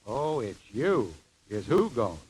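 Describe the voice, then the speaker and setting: A man's voice: medium pitch, very animated, takes it slowly, darkish tone, a little hiss or hum, poor audio. An actor playing a mild, awkward ex-soldier handyman in an old-time radio comedy, hesitant, folksy and earnest.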